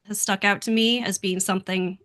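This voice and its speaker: A woman talks as a guest on a podcast. Her voice is bright and crisp-edged, set at a middling pitch. She chats casually in a measured, reflective way. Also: steady tone. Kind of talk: casual chat.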